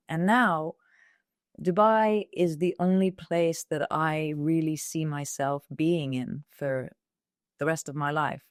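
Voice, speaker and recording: A woman's voice: low-pitched, dry recording, clean studio sound. A woman in her thirties, a radio presenter whose first language is Italian, chatting and telling stories as a podcast guest. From Italy. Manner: chatty, animated storyteller. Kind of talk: casual interview chat.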